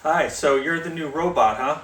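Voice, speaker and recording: A man's voice: on the high side, moderate pace, over music, lightly acted, rough recording. A man acting as an insistent customer dealing with a temp robot in a comedy sketch. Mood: hesitant, polite.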